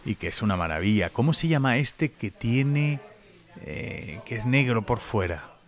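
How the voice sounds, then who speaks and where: A man's voice: mid-range voice, sing-song, a little slow. A man hosting a casual podcast interview, reflective and chatty, often tagging his thoughts with little questions. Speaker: podcast host. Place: wine venue indoors.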